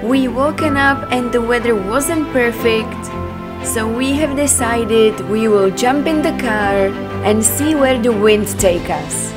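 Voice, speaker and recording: Young woman doing travel vlog voiceover, kinda high voice, loud music behind her. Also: slow. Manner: cheerful, upbeat sign-off and narration. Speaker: travel vlogger host. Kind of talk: voice-over travel narration. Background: loud background music.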